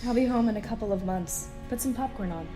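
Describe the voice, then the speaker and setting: A woman playing a stern but fair starship commander in a sci-fi audio drama, speaking in a brisk, dry way. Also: on the high side, over music, close to an everyday voice. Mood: affectionate.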